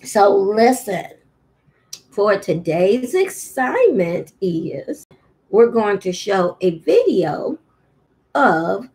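A middle-aged woman with an American accent speaks at a very unhurried pace in a mid-range voice. She sounds like a preschool teacher addressing families in an informal video message, choosing words deliberately and haltingly. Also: mid-range voice, very animated, a little mellow. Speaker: early childhood teacher. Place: small indoor room at home. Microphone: laptop webcam at arm's length.

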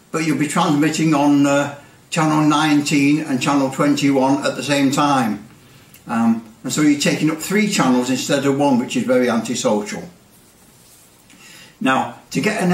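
An older man in his seventies with a British accent, casually explaining technical things to camera and sometimes repeating his words. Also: medium pitch. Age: older, around 70s. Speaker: presenter of a CB radio channel. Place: small indoor workshop with bare brick walls. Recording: roomy echo, distant microphone.

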